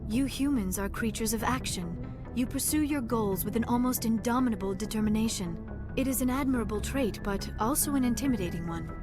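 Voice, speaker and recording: A woman voicing a gentle, earnest young female alien scientist in a video game, speaking formally and carefully. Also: fairly high pitch, a little fast, natural voice. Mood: soft, earnest. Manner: formal, careful phrasing without contractions. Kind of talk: video game dialogue acting. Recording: music behind the voice.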